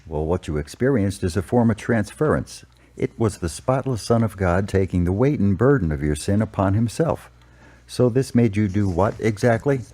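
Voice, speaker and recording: Man acting patient priest, mellow dark tone, fairly low, measured, explanatory, audio drama. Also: animated. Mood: patient counseling. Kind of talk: amateur audio drama dialogue.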